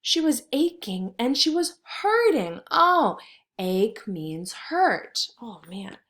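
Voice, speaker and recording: A woman teacher doing a read-aloud for kindergarteners, wondering aloud and asking simple questions in an expressive, child-directed way. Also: a little high, takes it slowly.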